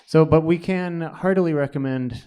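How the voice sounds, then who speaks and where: A man's voice: fairly high pitch, normal speed, warm tone, smooth. A man co-hosting a live panel event, presenting to an audience in a casual, conversational way. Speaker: co-host and magazine editor.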